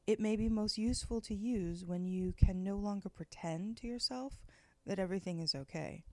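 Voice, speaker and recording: A woman leading a guided meditation, giving slow, soft, gentle instructions with long pauses. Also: mid-range voice, no echo.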